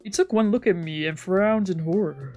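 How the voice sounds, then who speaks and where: A woman streamer reading a visual novel aloud with steady narration. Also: fairly low pitch, normal speed, faint music behind.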